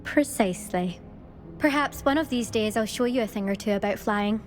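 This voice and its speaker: A woman's voice: mid-range voice, moderate pace, faint music behind, natural voice. A woman playing a boastful, blunt, competitive teenage witch in a video game. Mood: cocky. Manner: cocky, blunt, dismissive. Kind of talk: scripted video game dialogue.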